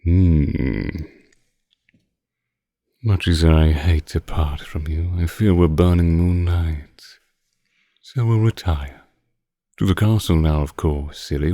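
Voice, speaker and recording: A man's voice: sits low, warm tone. A man playing a seductive, possessive ancient vampire in an intimate audio roleplay, commanding and teasing, sometimes sinking into whispers. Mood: hungry, teasing. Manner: slow, teasing, commanding, breathy drops into whispers. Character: seductive, predatory male vampire, ancient but youthful-looking, possessive.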